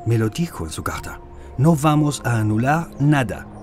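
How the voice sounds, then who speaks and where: An actor playing a proud, insistent middle-aged French president in an audio drama, speaking formally. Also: male voice, mid-range voice, animated, normal speed, darkish tone, faint music behind, lightly acted. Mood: determined. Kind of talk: scripted audio drama dialogue.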